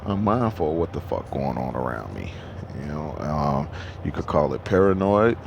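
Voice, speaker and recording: Deep gravelly man, 30s, trucker, profane rambling vlog talk, noisy truck stop behind. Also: expressive, normal speed, highs cut off. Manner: rambling and profane, with many restarts and fillers. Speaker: truck driver. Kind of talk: casual monologue to camera.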